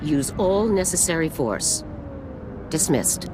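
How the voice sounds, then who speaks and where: Low-voiced stern female army general. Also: animated, slow pace, background noise. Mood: brisk, commanding. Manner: clipped, formal, authoritative. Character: stern middle-aged female army general giving orders. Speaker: military commander. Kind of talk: scripted game dialogue.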